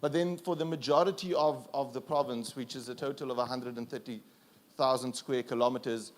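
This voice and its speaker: A man with a South African accent, raised speaking Afrikaans, presents at a conference. His voice sits at an ordinary middle pitch. He talks in an easygoing, self-deprecating way, pausing on fillers and restarting phrases. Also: fairly even intonation.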